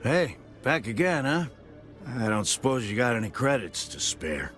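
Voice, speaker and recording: A man's voice: medium pitch, sing-song, normal speed, fairly bright tone. An actor playing a bitter, haunted middle-aged male ex-marine who drinks, weary and begging in a video game. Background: game music.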